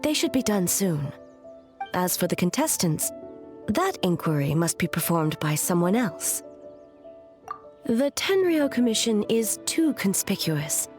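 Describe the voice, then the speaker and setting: A woman voicing a dignified, solemn noblewoman in a video game, speaking in a formal, measured and composed way. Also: mid-range voice, sing-song, a little mellow. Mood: composed, businesslike. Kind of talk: video game character acting. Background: background music.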